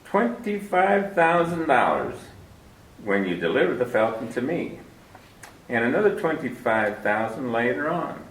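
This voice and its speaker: A purring, genteel villain. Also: male voice, medium pitch, big pitch swings. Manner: genteel, purring, unhurried menace. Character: smooth, scheming older male villain, fat man. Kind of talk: 1940s radio acting. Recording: roomy old radio broadcast recording.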